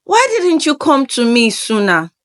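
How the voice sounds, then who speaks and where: A woman's voice: high-pitched, sing-song, a little slow, fairly bright tone, pitched above the performer's own voice, full character voice. Woman as a grave, worried mother. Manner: quivering, grave. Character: worried older mother, fearful, grave, protective.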